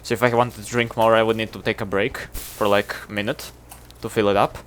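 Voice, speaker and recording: A man's voice: lowish voice, flat delivery, a little fast, fairly bright tone, a little hiss or hum. A man from Serbia with a Serbian accent, chatting casually to his viewers while streaming a game, rambling and self-deprecating. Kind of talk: casual livestream chatter with chat.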